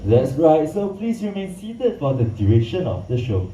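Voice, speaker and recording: A man whose first language is Mandarin, presenting a live night animal show in an outdoor amphitheater, hyping the crowd and narrating in a theatrical, drawn-out way. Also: a little high, very animated, warm tone. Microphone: PA system heard from the audience. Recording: echoey PA sound from far off.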